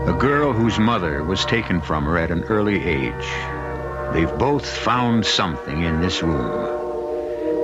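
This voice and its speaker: Deep-voiced radio mystery host. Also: male voice. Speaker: host and narrator. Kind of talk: scripted mystery radio narration. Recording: dull lo-fi radio, music behind.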